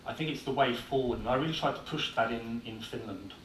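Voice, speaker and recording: A man's voice: medium pitch, quick pace, fairly bright tone. An Irish-born man with an English accent giving a blunt, informal conference talk with frequent filler words. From Ireland. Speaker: conference speaker. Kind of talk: conference presentation. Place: conference hall. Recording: echoey hall, distant mic, faint noise.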